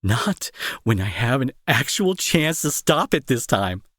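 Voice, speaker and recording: A man playing a remorseful, protective bully with a grieving streak, in an intimate dramatic audio roleplay with halting, earnest delivery and a husky, breathy voice. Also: mid-range voice, very animated, a bit bright, dry recording, studio quality. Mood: remorseful, resolute. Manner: halting, emotional, earnest pleading. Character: angry male bully turned remorseful, protective, grieving brother.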